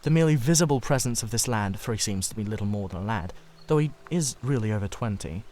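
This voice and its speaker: A man's voice: fairly low pitch, animated, a little mellow, a little hiss or hum, pitched below the performer's own voice, lightly acted. Man playing an earnest, fervent male artist. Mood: rapt. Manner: fervent, halting, confessional. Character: earnest, intense, idolising male painter. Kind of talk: dramatised literary audiobook reading.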